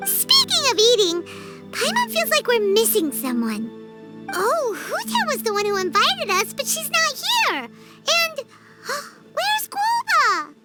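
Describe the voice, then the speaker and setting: A woman voicing a tiny, excitable, childlike companion with a squeaky voice in a video game. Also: slow, over loud music, full character voice. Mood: eager. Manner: exclaiming, refers to self in third person. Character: tiny excitable floating girl companion, childlike and food-loving. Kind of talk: dubbed video game cutscene dialogue.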